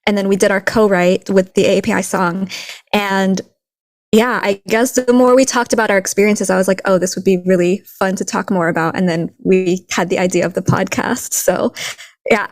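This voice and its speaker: A woman raised in a Texas border town, co-hosting a podcast in a relaxed, reflective way with light laughs. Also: mid-range voice, moderate pace, no echo. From Philippines, raised in a Texas border town. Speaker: podcast co-host. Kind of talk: casual conversational podcast chat.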